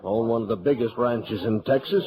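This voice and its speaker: A man's voice: medium pitch, normal speed, close to an everyday voice, low-quality recording. An American actor playing an anxious, appeasing frontier businessman in an old radio western, fussy and pleading. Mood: anxious, placating. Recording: old radio broadcast with crowd murmur.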